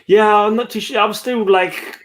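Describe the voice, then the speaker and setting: Man chatting casually, slightly high voice. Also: steady tone, moderate pace. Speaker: read-along book discussion participant. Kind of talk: casual book chat.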